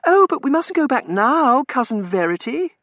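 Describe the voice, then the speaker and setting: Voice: sits high, big pitch swings, moderate pace, a bit bright, no echo, pitched above the performer's own voice, full character voice. A narrator voicing a spoiled, affected young lady who coos in fluttery, prissy baby talk in a storybook reading. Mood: gushing, eager.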